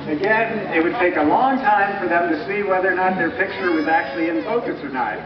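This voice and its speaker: A man giving a scripted, explanatory historical narration in a measured, didactic way, like a presenter at a live dramatization. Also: high voice. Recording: muffled, echoey distant recording with clear noise.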